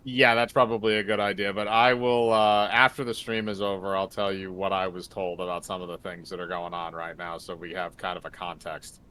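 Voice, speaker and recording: A man's voice: sits low, flat delivery, brisk, hardly any pauses, pushed and bright, rough recording. A man co-hosting a livestream with casual, jokey banter and commentary over game music. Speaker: streamer co-host. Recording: music behind.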